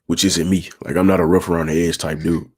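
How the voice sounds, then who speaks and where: A young man with a raspy voice, talking in a laid-back, smooth way and repeating himself as he hosts a casual livestream. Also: low-pitched, little pitch movement, fast talker, a little mellow. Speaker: livestream host.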